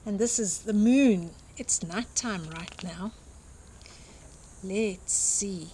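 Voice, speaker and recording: A woman's voice: medium pitch, extremely slow, mellow, a little hiss or hum, low-quality recording. An older woman reading a picture book aloud expressively to young children, with simple explanatory asides. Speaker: children's storytime reader and host.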